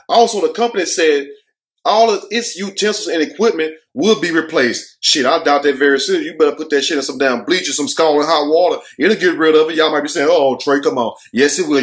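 An American man talks to his viewers in a somewhat high-set voice. He is blunt and opinionated, with casual slang, swearing and joking asides throughout his commentary. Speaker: online commentary show host. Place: furnished room at home.

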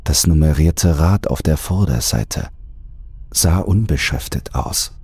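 A man narrating a scripted horror story in a measured, deliberate, suspenseful manner. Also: extremely low pitch, slightly rough. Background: soft music.

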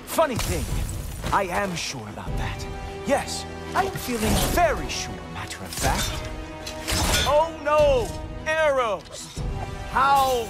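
An actor playing an arrogant god in a video game, mocking with drawn-out sarcasm. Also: male voice, very high voice, wildly swooping pitch, full character voice. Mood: taunting, gloating. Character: arrogant, sneering male god, watchman of the gods. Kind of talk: video game character acting. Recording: loud game music behind.

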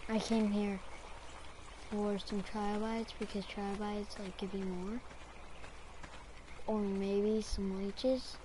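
A woman talking over her gameplay in an unhurried, rambling way, half to herself. Her voice is hoarse and worn from a throat infection. A clear layer of noise sits under the recording. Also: medium pitch, flat delivery. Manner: rambling, talks to herself while playing. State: hoarse, recovering from strep throat. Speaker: gamer. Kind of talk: casual gameplay commentary.

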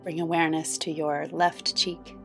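A woman guiding a yoga nidra meditation in a soothing, gentle, unhurried manner. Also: deep voice, little pitch movement, gravelly. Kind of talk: guided meditation. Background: background music.

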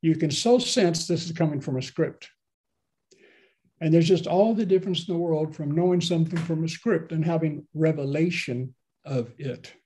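A pastor in his seventies talks through his sermon from a small home office, speaking into a webcam on a video call. His voice sits fairly high but sounds mellow and warm. He rambles casually and often turns to his listeners with questions. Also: moderate pace. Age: 70s. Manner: conversational, rambling preaching with asides and questions to listeners. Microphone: laptop webcam on a video call.

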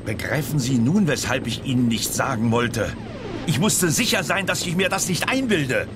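An actor playing a grave, commanding army general with a clipped manner in a children's sci-fi radio play. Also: male voice, medium pitch, expressive, normal speed, music in the background, close to an everyday voice. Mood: ominous. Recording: helicopter noise behind the voice. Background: helicopter noise.

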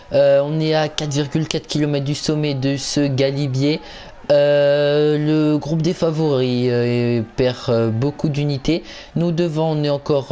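A man's voice: medium pitch, dark tone. A man giving casual commentary on a video game, hesitant and stumbling, often repeating himself. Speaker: gaming channel host.

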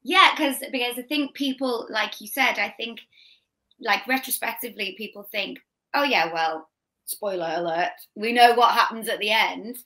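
Young scouse woman, bright voice, chatty, video call sound. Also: fairly high pitch. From Liverpool. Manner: chatty, quick restarts, laughing asides. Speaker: actress guest. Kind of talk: casual interview chat. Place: home room, video call.

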